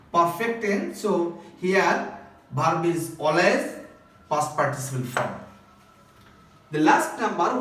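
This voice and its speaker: A man's voice: fairly high pitch, fairly bright tone, a little hiss or hum. A man with a Bangladeshi accent teaching English grammar step by step, in a repetitive, phrase-by-phrase instructional way. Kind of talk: recorded grammar lesson, step-by-step explanation. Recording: echoey room, distant microphone.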